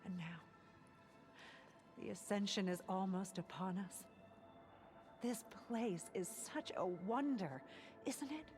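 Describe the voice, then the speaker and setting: A woman's voice: mid-range voice, slow, long pauses, darkish tone, faint music behind, natural voice. A woman playing an earnest, devout cult convert in a video game, a former marine who speaks reverently and a little hesitantly. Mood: reverent, wistful. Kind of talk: video game cutscene acting.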